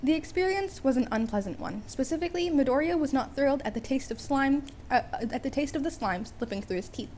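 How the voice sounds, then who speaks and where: High-voiced woman reading a comic story. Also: lively intonation, brisk, hardly any pauses, darkish tone, slight background noise. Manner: wry, stumbles and rereads phrases. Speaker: amateur audiobook reader. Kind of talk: scripted comic story narration.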